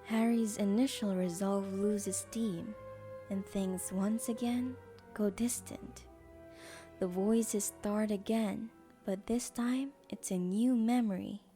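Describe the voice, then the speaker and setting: Slow, mellow woman narrator. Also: mid-range voice, fairly even intonation, soft background music. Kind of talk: scripted storybook narration of an audio drama.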